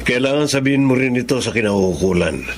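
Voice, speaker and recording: Casting: male actor as kindly, serious Filipino doctor, medium-pitched, measured counsel, audio drama, slight noise. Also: expressive, natural voice. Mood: grave. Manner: measured, advising. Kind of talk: Filipino radio-style melodrama acting.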